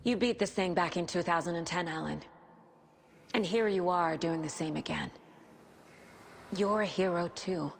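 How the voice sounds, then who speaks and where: An actress playing a determined young female detective who speaks in a steady, resolute, reassuring way. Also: on the low side, moderate pace, creaky, pauses often. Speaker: game protagonist. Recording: noisy game scene.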